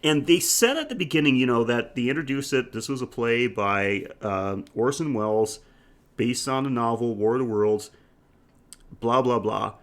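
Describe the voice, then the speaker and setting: A man's voice: mid-range voice, normal speed. Casual, jokey man co-hosting a podcast. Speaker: podcast co-host. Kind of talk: casual film discussion chat.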